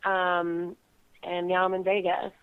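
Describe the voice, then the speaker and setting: A woman's voice: fairly low pitch, completely flat delivery, slow. A young woman from California's Bay Area, a guest calling in to a casual interview, who giggles often between phrases. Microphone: phone call. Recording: tinny phone line.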